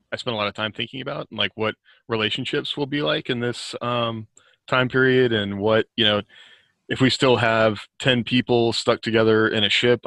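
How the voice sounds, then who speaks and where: A man talking casually on a group podcast as a guest author, reflective and halting. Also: on the low side, vocal fry, a bit bright, dry recording.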